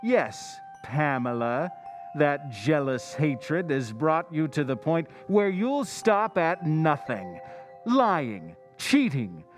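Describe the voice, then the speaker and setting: Taunting, all-knowing male narrator from an old-time radio mystery. He draws out his words slowly with a lively, rising and falling voice, insinuating every line. Soft music plays beneath him. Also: on the high side. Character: the Whistler, brooding omniscient male narrator. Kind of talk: 1940s radio drama narration.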